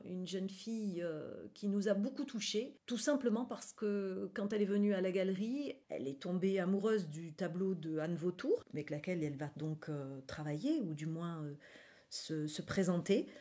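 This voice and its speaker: A woman's voice: medium pitch, warm tone. A woman being interviewed on radio as a guest, explaining things enthusiastically and searching for her words as she goes. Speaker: guest, graphic artist presenting a gallery event.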